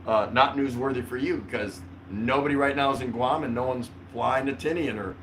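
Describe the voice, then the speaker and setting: An older man hosts a relaxed livestream from a small indoor room. He rambles conversationally with a joking, mildly sweary manner. A light layer of background noise sits behind his voice. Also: mid-range voice, normal speed, low-quality recording. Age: older, around 60. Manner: rambling, jokey, mildly profane. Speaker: livestream host. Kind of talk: casual livestream chat.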